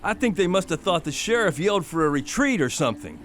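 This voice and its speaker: An actor with an American accent playing an earnest, restless telegraph operator in a radio drama. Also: male voice, high voice, big pitch swings, quick pace, fairly bright tone, soft background music. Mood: concerned.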